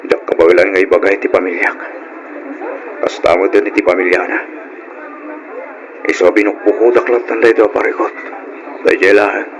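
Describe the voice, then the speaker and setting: A man's voice: sits low, fairly even intonation, brisk, long pauses, crowd noise, low-quality recording. A Filipino man playing a troubled, hurt, anxious husband in an old radio drama. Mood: heavy-hearted, hurt.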